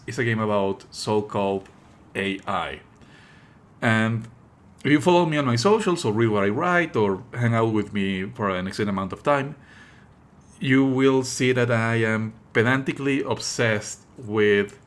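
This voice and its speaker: Bright-voiced man giving pedantic stream commentary. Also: fairly low pitch. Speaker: streamer and host. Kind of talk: live game stream commentary, explanatory talk.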